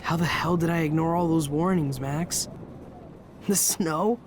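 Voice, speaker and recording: A man's voice: a little high, steady tone, a little slow, slight background noise, natural voice. An actor playing an earnest, self-blaming young American male student in a video game, modest and downcast. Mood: dejected.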